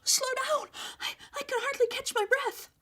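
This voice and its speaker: A woman voicing a timid, fretful little girl in a storybook, squeaky and stammering. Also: fairly even intonation, normal speed, pushed and bright. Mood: panting. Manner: stammering, breathy, whiny.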